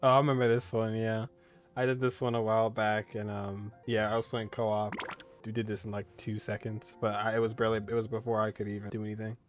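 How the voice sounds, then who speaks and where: A man's voice: lowish voice, little pitch movement, a little fast. A man speaking as a gaming streamer, giving casual, slangy commentary while he plays. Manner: informal, slangy. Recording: game music behind the voice. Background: video game music.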